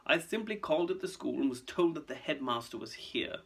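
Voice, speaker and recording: A man voicing a cold, smug aristocratic villain with a lazy, smooth, mock-polite sneer, in a storybook. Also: fairly high pitch, lively intonation, brisk, fairly bright tone, voice pushed up, full character voice.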